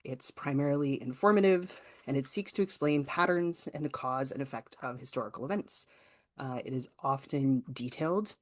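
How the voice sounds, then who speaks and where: A writing teacher from Ontario with a Canadian accent, giving an informal online workshop presentation in a conversational, explanatory way. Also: mid-range voice. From rural southwestern Ontario, Canada.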